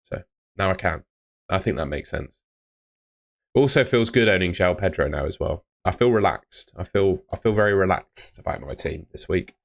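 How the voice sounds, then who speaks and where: Young male streamer, low voice, relaxed and chatty, reading viewer comments. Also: moderate pace, gravelly. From Bristol, England. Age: young adult. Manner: relaxed, chatty, answers chat questions. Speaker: fantasy football streamer. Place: small room indoors.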